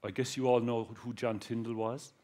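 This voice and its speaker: A man's voice: medium pitch, level tone, normal speed, dark tone. Joking man with a Cork accent. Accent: Cork Irish. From Ireland. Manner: joking, addresses the audience directly. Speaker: guest speaker, research engineer. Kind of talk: public talk to students.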